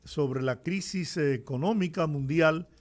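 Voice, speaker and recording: A man's voice: a little high, takes it slowly. A man hosting a radio interview, asking questions and thanking his guest in a formal, courteous manner. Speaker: radio host.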